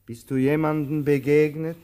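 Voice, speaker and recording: A man playing a weary, anxious, pensive tramp in a radio play, speaking with halting, brooding pauses. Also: fairly high pitch, flat delivery, dark tone, clean tone, natural voice. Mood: urgent questioning. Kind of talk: German radio play acting.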